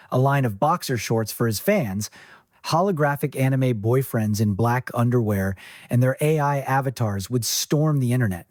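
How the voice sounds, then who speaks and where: A man reading scripted fiction as an audiobook narrator, in a measured storytelling manner. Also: mid-range voice, a little mellow, dry recording, studio quality.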